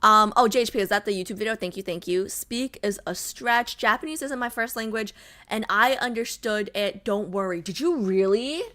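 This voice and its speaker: Bubbly, bright-voiced young woman streamer. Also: mid-range voice, moderate pace. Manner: bubbly, chatty, many fillers. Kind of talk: casual chat with live chat.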